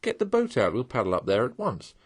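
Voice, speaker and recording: A man voicing a cheerful, excitable male water rat in a storybook reading. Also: medium pitch, slightly rough. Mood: enthusiastic. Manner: brisk, chatty, indignant at times.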